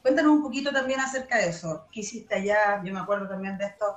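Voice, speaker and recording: A woman's voice: medium pitch, quick pace. A woman hosting an interview show, introducing her guest and asking questions in a chatty way. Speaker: host.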